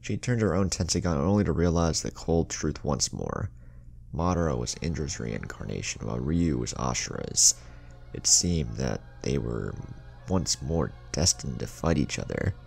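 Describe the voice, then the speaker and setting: A man with a gravelly voice reading a dramatic scripted story as its narrator. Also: extremely low pitch, sing-song, normal speed, a little mellow. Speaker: fan fiction narrator. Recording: music under the voice. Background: background music.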